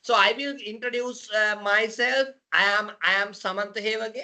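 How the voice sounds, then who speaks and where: A woman with a Sri Lankan accent hosting a podcast, speaking in a halting way and often repeating her phrases. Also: medium pitch, fairly even intonation, bright, forceful tone. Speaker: podcast host. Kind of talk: unscripted podcast introduction and interviewing.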